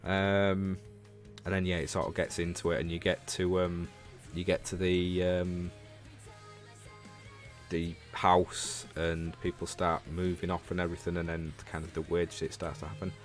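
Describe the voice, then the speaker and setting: Low-pitched Northern English man, chatting casually. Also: flat delivery, unhurried, soft background music. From Leeds, England. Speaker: livestreamer and show host. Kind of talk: casual livestream chat about games, replying to viewers.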